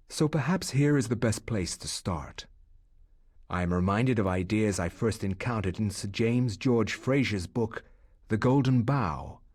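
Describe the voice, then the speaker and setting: A man giving measured, scholarly game narration in a reflective tone. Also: lowish voice, darkish tone, breathy edge, dry recording, clean studio sound.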